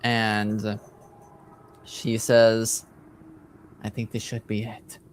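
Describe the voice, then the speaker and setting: A man acting as game master, improvising narration casually and haltingly as he thinks aloud. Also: fairly low pitch, monotone, a little hiss or hum.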